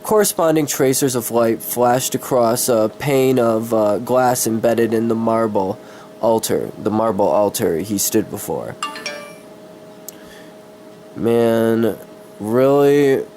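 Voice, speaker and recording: A man with a cold doing a dramatic story reading, halting and easily distracted, with casual swearing. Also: mid-range voice, mellow, faint music behind, poor audio. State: sick with a cold.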